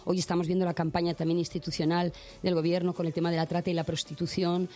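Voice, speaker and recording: A woman's voice: fairly low pitch, little pitch movement, fast talker, dark tone. A woman guest, a singer and activist, talking passionately in long runs. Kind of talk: casual interview chat.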